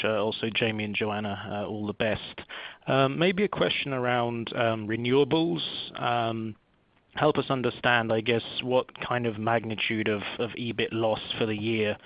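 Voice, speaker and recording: A man's voice: medium pitch, no echo. A man calling in as a financial analyst, asking a question with polite, tentative phrasing. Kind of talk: questioning on a business call. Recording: dry, slightly dull phone connection.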